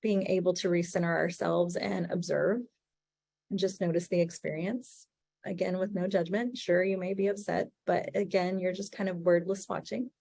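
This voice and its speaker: A woman's voice: fairly low pitch, fairly even intonation, normal speed, vocal fry, warm tone, no room sound. Chatty sounding woman therapist, webinar talk, dry close mic. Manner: chatty, many fillers, laughs easily. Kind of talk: informal webinar teaching.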